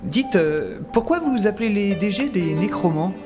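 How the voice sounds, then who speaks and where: A young captive scientist, acted by a man, mouthy and sarcastic, protests in casual, indignant phrases. His voice is high for a man and plays over clear music in an audio drama. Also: lively intonation, moderate pace. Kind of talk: scripted audio drama acting.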